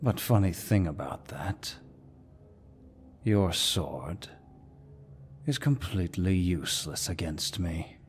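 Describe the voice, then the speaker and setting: A man playing a suave, dominant demon lord who speaks in a deep, husky voice with mocking courtesy, as in an ASMR villain roleplay. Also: slight background noise, heavily acted. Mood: smug, menacing. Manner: slow, mocking, mock-courteous, theatrical.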